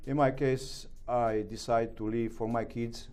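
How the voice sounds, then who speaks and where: A middle-aged man with a Romanian accent, speaking broken English as an interview guest, halting with many fillers. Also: mid-range voice, level tone, darkish tone, clean tone. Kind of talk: interview answers.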